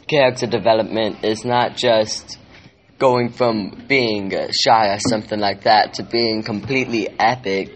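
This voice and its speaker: A young man with a deep voice talking slowly and haltingly in a casual chat with friends in a library room, with a joking manner. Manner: slow, halting, jokey. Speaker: podcast co-host.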